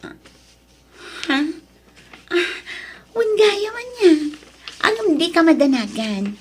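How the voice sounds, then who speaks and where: A woman's voice: extremely high pitch, very animated, extremely slow, exaggerated voice. An actress with an Ilocano accent playing a confident, ambitious young girlfriend who sweet-talks breezily in a radio drama. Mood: ambitious, dismissive.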